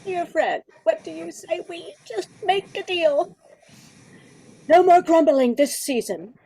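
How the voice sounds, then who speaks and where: A woman's voice: extremely high pitch, takes it slowly, warm tone, full character voice, rough recording. A woman playing a wistful, genteel old Christmas carol come to life, with theatrical delivery in a radio play. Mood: hopeful. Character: wistful, prim old female Christmas carol sheet music.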